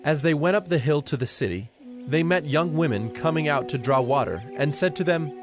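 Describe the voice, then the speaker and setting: A man's voice: fairly high pitch, a little fast, music in the background. A man narrating a dramatized scripture reading from a script.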